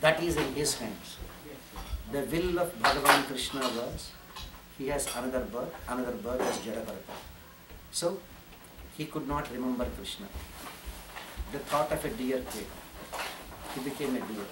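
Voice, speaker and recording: A man's voice: mid-range voice, moderate pace, pauses often, very noisy. A man from India, giving a sermon as a spiritual teacher, repeating phrases and chanting Sanskrit verses. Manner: repetitive, animated preaching with chanting. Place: ashram hall. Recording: roomy hall, distant mic, audience.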